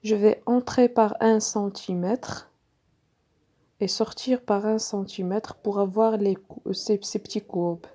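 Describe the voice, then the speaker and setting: Slow, mellow-voiced woman teaching sewing. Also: medium pitch, steady tone. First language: not French. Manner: hesitant, many fillers and restarts. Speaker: sewing instructor. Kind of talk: step-by-step sewing tutorial.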